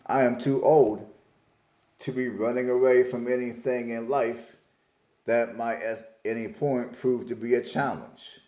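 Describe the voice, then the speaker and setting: A man's voice: medium pitch, steady tone, measured pace, warm tone, echoey room. A man in his forties from Alabama, musing aloud in a casual, plain-spoken vlog about his life. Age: 40s. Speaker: vlogger. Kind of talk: casual reflective monologue.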